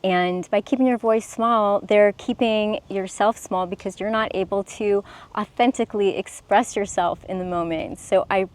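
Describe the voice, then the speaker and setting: A woman's voice: medium pitch, fairly even intonation, moderate pace. A young woman speaking to camera as a self-expression coach, earnest and encouraging, sometimes restarting her words.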